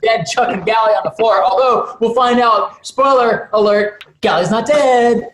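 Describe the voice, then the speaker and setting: A man's voice: very high-pitched, a little slow. Man narrating a script, echoey noisy room. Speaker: narrator reading stage directions. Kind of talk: dramatic script narration with casual asides. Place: indoor room on remote video call. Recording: echoey room, clearly noisy video call.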